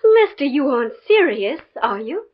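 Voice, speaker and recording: An actress playing a practical, persuasive fiancee in a 1950s radio drama. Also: female voice, sits high, very animated, normal speed. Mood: coaxing, skeptical. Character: practical, coaxing fiancee of a stuffy academic. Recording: muffled, faintly noisy 1950s radio recording.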